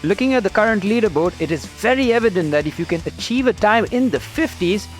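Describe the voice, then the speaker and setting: A man with an Indian English accent presenting and commentating a fitness race in an upbeat, excitable way. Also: sits high, fast talker, faint music behind. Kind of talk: scripted sports commentary.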